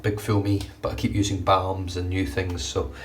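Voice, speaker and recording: A man's voice: low-pitched, normal speed, distant mic, slight background noise. A man in his thirties with a blocked, nasal voice, chatting casually through his shave for a grooming vlog, recorded on a phone in a small bathroom. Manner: chatty, relaxed, swears casually. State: congested, nasal. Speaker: shaving vlogger. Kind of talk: casual how-to commentary. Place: small bright bathroom at home. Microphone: phone front camera at arm's length.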